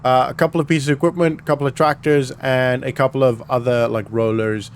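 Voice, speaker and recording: A man's voice: medium pitch, fairly even intonation, a little fast, a little hiss or hum. A man giving casual, rambling vlog commentary while he plays a game, often correcting himself as he goes. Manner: rambling, self-correcting, chatty. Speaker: gaming video host.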